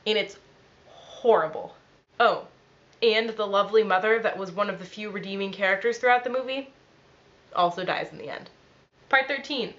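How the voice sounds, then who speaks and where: A young woman who is ill and sounds nasal, telling a story in a quick, dry, deadpan vlog. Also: medium pitch, dull audio. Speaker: vlogger.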